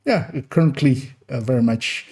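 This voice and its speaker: A man's voice: medium pitch, animated, moderate pace, darkish tone. A young man born in Iran and raised in the Netherlands, with Farsi as his first language and a mixed Persian, Dutch and American accent, rambling through a Q&A for his gaming channel with many fillers and restarts. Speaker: gaming YouTuber. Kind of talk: rambling Q&A monologue.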